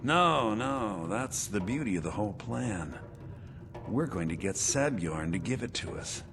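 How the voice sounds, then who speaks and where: An actor playing a bitter, scheming conspirator in a video game, talking in a sly, confiding way. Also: male voice, lowish voice, expressive, unhurried. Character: bitter, scheming man, conspirator in a mead-poisoning plot. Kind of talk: video game character dialogue. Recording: game music behind the voice. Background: game music.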